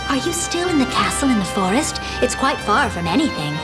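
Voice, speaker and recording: Kindly royal confidante in a game: highish, animated, courteous, over music. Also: female voice, brisk. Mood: kindly, concerned. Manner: expressive, courteous. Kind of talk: fantasy video game voice acting.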